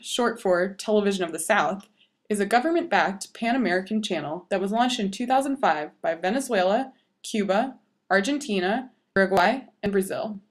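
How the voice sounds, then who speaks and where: A woman narrates from a written script in a medium-pitched voice. She presents the material as an explanatory narrator.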